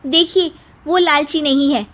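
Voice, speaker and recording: A woman's voice: high-pitched, fairly even intonation, a little slow, fairly bright tone, pitched above the performer's own voice. A woman voicing a spirited young cartoon bride who answers back in an indignant, plain-spoken, self-assured manner.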